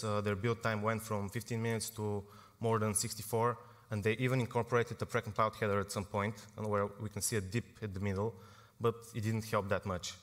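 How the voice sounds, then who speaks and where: A young man from Bulgaria giving a technical conference talk, informal, with frequent fillers and self-corrections. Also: lowish voice. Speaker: conference speaker. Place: large conference hall. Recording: long hall reverb.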